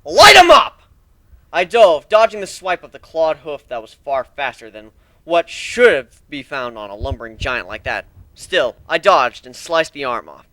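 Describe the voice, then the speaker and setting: A man's voice: mid-range voice, lively intonation, normal speed, fairly bright tone, no echo. A man giving a dramatic first-person narration of a fantasy action story.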